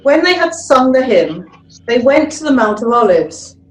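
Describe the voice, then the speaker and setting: A woman giving a solemn, measured scripture narration as a lay reader, recorded in an echoey room. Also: high-pitched, very animated.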